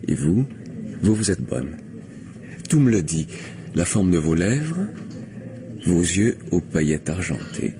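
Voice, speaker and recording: Low-voiced, glib, insistent gentleman. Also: big pitch swings, slow, long pauses, heavily acted. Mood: smooth flattering. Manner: wheedling, stammering, talkative. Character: glib, insistent gentleman pestering a young woman. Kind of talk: old French radio play acting. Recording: noisy old radio drama recording.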